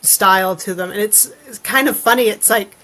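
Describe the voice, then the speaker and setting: A woman's voice: mid-range voice, moderate pace, sharp tone. A woman talking casually as a podcast guest, in a conversational, informal way. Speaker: podcast guest, illustrator and author. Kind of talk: casual chat.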